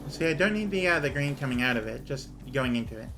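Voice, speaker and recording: A man streaming a game, talking casually through his numbers as he plays, with his voice going hoarse. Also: mid-range voice, expressive, fast talker, background noise. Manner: muttering numbers while he plays, thinking aloud. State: losing his voice. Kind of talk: casual gameplay commentary.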